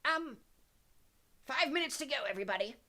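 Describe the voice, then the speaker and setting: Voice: high voice, expressive, normal speed, pushed and bright, pitched above the performer's own voice. A narrator voicing an elderly male professor, mild, proper and easily flustered, in an audiobook story. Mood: mild, feeble. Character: elderly fussy, scandalized male professor examiner.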